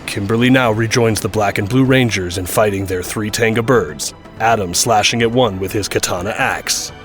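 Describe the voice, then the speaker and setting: Low-voiced man narrating action over music. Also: normal speed. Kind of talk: scripted audio drama action narration.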